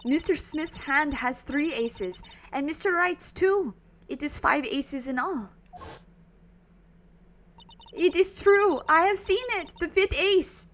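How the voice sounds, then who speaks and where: A woman's voice: sits high, expressive, takes it slowly, a bit bright, a little hiss or hum, voice pushed up, heavily acted. A woman voicing a cheerful teenage girl stage magician who speaks in a theatrical, mysterious way. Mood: earnest.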